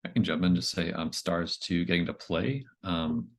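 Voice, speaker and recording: A man's voice: sits low, normal speed. A man talking casually as a player in a tabletop roleplaying game.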